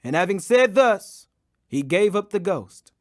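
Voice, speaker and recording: A man's voice: high voice, very animated, unhurried. A man reading scripture aloud as a dramatic audiobook, in a solemn, declamatory manner. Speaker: scripture reader.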